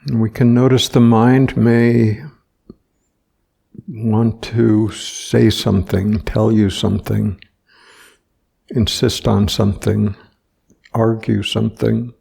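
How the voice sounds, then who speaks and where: A man guides a meditation in a very slow, even voice with a heavy creak in it. He speaks gently in short phrases and leaves long, unhurried pauses between them. The tone stays flat and soft-edged throughout. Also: lowish voice. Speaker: meditation teacher.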